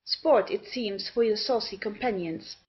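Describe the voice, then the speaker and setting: Prim, tart old serving gentlewoman, played by a woman, muffled. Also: medium pitch, unhurried, lightly acted. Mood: curt. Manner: clipped, haughty. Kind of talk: theatrical period comedy acting.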